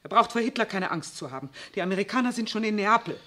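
An actress playing a gentle, hopeful young wife and mother who often whispers in a 1960s radio drama. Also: medium pitch, expressive, fast talker, lightly acted. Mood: pleading. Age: young adult. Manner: soft, pleading, often whispering.

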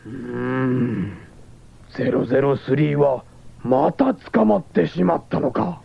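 An actor playing an elderly scientist, gruff and anxious, with a creaky voice and halting old-man speech, in a 1970s radio drama. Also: medium pitch, full character voice. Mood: weary, worried. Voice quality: creaky, gravelly. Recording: noisy, dull 1979 radio broadcast tape.

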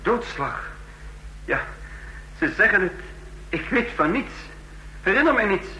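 An actor in a radio play playing a dazed, drunken accused man, halting, confused and submissive, who has lost his memory. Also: high voice, very animated, sharp tone, full character voice. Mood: confused, pleading. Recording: echoey, faintly noisy old radio recording.

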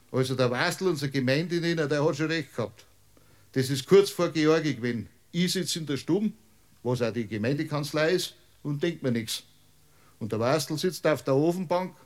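A man's voice: fairly high pitch, moderate pace, natural voice. A man playing a rambling Bavarian village mayor. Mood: rambling storytelling. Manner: rambling, long-winded, stubbornly literal. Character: evasive, folksy village mayor testifying as witness. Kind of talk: radio play acting.

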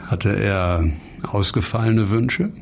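An actor playing a disgraced doctor fresh out of prison in a radio crime drama, sardonic, blunt and intense. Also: male voice, on the low side, slow, gravelly, background noise. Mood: probing, wry. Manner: probing, blunt, mixing wry irony with exasperation.

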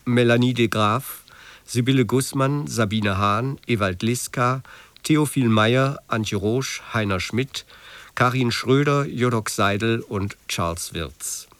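Radio continuity man, mid-range voice, measured scripted delivery, very little room sound. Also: mid-range voice, no room sound. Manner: formal, measured. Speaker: radio announcer. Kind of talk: scripted broadcast announcement. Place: dry broadcast studio.

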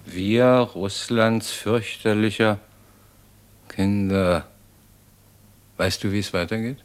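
A pensive man in a radio play, feeling his way through remembered verse. His voice is fairly low and resonant, and the delivery is slow. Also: fairly even intonation, clear, resonant tone. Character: man slowly recalling a half-forgotten poem to a companion. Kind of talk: German radio play dialogue.

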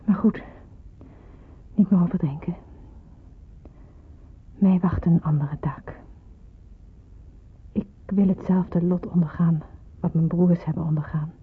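An actress playing an earnest, resigned young nurse in a radio drama, speaking hesitantly. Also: female voice, on the low side, steady tone, takes it slowly, long pauses, slightly rough, heavily acted. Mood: brooding, troubled. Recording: muffled, narrow-band old radio play, hissy.

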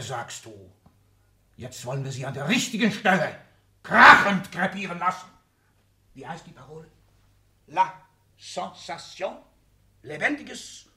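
A man's voice: a little high, big pitch swings, unhurried, pauses often, sharp tone, full character voice. An older man playing an ailing, domineering old industrialist in a 1960s German radio drama, commanding and declamatory with clipped, emphatic phrases. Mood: fervent, urging. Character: ailing, domineering old industrialist patriarch, irascible, power-hungry.

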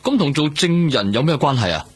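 A man's voice: a little high, very animated, brisk, husky. A man acting a cautious, exasperated police superior in a radio drama, grumbling in a Hong Kong Cantonese accent. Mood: wary, exasperated. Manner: grumbling, admonishing. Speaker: actor.

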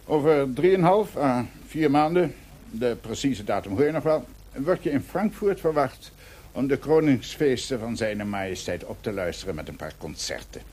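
A man's voice: medium pitch, lively intonation, normal speed, a little mellow, breathy edge. A man playing a curt, condescending court theatre official in a radio drama, with a dismissive, officious manner.

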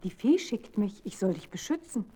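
A woman playing a protective, gently scolding little forest cricket in a children's radio play. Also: mid-range voice, very animated, normal speed, husky. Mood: caring, urgent.

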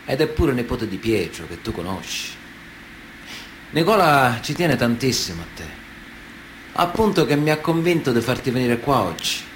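An actor playing a stern, menacing Sicilian mafia boss in a radio play, speaking in blunt, deliberate, repetitive phrases with a Sicilian accent. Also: male voice, medium pitch, close to an everyday voice, low-quality recording. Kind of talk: dramatic radio theatre monologue. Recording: noticeable background noise.

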